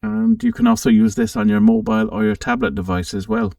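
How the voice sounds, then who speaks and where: A man hosting a casual, informal software walkthrough for an amateur radio channel, thinking aloud a little hesitantly as he goes. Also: lowish voice, huge pitch swings, fairly quick, vocal fry, studio quality. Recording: roomy, distant microphone.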